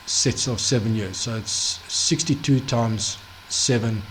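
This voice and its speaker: Halting man explaining scripture. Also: medium pitch, a bit bright, a little hiss or hum. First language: not Hebrew. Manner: halting, many restarts and fillers, checks in with "okay?". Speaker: Bible teacher. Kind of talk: unscripted screen-share Bible study.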